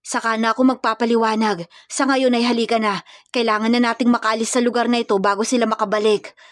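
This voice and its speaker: A woman's voice: on the high side, flat delivery, fast talker, no room sound, studio quality, close to an everyday voice. A woman voicing a serious, protective young male hired killer in a dramatized romance story, firm and commanding. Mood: urgent, firm.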